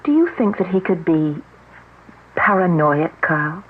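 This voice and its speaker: An actress playing a worried wife in a 1970s radio drama, earnest and questioning. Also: female voice, fairly low pitch, big pitch swings, measured pace, slight background noise. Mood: probing. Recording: narrow-band old radio broadcast.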